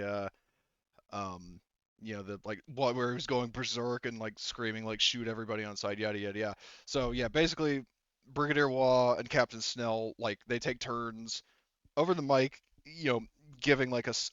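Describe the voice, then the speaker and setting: Guy running a tabletop rpg, smooth clear voice but slightly dull audio, casual stop and start storytelling. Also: medium pitch, fairly bright tone, dry recording. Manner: halting, conversational storytelling. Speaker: game master. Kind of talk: casual tabletop game narration.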